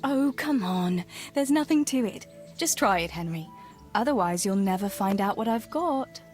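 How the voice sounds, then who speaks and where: An actress playing a tender, gently teasing young village woman in a medieval video game, soft-spoken and earnest. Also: mid-range voice, expressive, moderate pace, soft background music, lightly acted. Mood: playful, teasing. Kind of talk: naturalistic video game dialogue acting.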